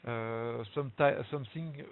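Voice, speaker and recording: Halting French-accented man on a tinny line. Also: mid-range voice. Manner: hesitant, many fillers and restarts. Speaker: webinar presenter. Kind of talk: halting presentation in a second language. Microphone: web conference audio. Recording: tinny phone-like web call audio with hiss.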